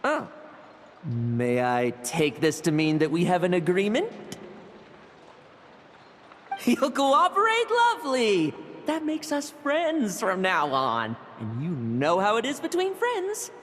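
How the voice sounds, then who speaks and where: An actor playing a sardonic, self-doubting young knight in a video game, with a theatrical, teasing delivery. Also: male voice, high-pitched, big pitch swings, slow pace, fairly bright tone, a little hiss or hum, heavily acted. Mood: playful menace. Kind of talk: dramatic game voice acting.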